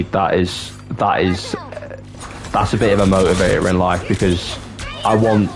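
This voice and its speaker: A young man in his twenties from North Wales chats casually with viewers on a livestream, halting and restarting often. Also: low-pitched. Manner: chatty, halting, with many restarts. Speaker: streamer. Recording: game music clearly behind the voice. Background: game music.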